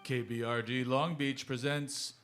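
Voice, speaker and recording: A man's voice: mid-range voice, fairly even intonation, measured pace, over loud music. A man working as announcer, introducing a live radio drama.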